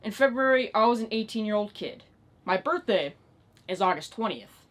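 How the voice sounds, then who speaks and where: A woman's voice: medium pitch, animated, moderate pace. A nineteen-year-old woman ranting in a casual, unscripted vlog style, exasperated and emphatic, with plenty of swearing. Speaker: online video creator.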